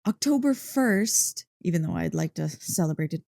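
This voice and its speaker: Excitable, mellow-voiced dog-girl played by a woman. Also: lowish voice, normal speed, creaky, no room sound, clean studio sound. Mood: playful coaxing. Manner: gushing, teasing, playfully indignant. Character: excitable, playful monster dog-girl girlfriend who adores Halloween. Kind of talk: ASMR roleplay, one-sided conversation.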